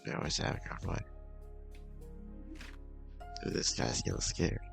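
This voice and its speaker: A man doing a casual let's-play, reading a visual novel aloud in a halting, mumbling way with asides, over game music. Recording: music playing behind the voice.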